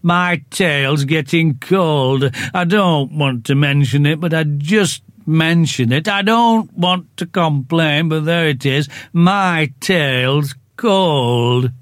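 A man voicing a gloomy old male donkey who speaks slowly and mournfully, full of self-pity. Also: a little high, no echo, clean studio sound. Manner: slow, drawn-out, self-pitying.

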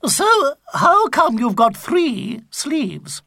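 A man voicing a trio of clingy elderly women fans with shrill, screechy voices, speaking slowly. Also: very animated, creaky, bright, forceful tone, no echo, studio quality, heavily acted. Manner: screechy high slow delivery.

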